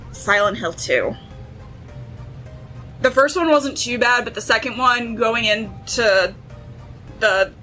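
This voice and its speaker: Female panel guest, lightly high-pitched, casual and opinionated, music in the background. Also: bright, forceful tone, low-quality recording.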